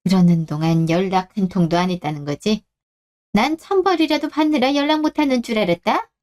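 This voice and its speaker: A woman's voice: medium pitch, fairly quick, a little mellow, natural voice. A woman voicing a domineering older mother-in-law in a radio drama, speaking in a scolding, accusing way. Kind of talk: Korean radio drama acting.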